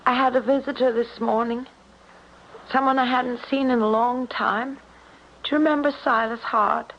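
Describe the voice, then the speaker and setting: Wistful, creaky young woman played by an actress. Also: a little high, steady tone, moderate pace, close to an everyday voice. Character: ailing young small-town woman, wistful, faithful and steadfast. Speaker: radio drama actress. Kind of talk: 1970s radio drama acting. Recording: dull, noisy 1970s radio recording.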